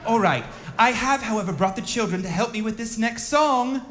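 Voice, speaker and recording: A man's voice: high voice, moderate pace, close to an everyday voice. A man playing a prim, fussy, motherly governess in a live stage comedy skit. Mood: bossy. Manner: prim, theatrical. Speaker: chorus performer. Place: theater stage. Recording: echoey theater with live music.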